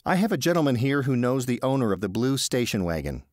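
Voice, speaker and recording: A man's voice: mid-range voice, big pitch swings, fast, no echo, clean studio sound, voice pushed up, natural voice. A man voicing a polite, suspicious female receptionist who speaks in a pointed way. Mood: prim.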